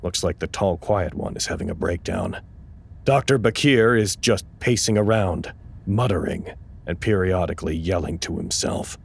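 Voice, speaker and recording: A man playing an earnest, anxious research-site staffer in an audio drama, with a measured, hesitant delivery. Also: low-pitched, creaky, slight background noise. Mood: sober, weary reporting. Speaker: actor in an audio drama podcast. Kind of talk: naturalistic audio drama acting, log narration and dialogue.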